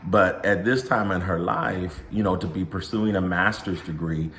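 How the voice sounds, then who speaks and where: Man, co-host; very low voice, soft-spoken and affirming; echoey room. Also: normal speed, slight background noise. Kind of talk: casual faith talk.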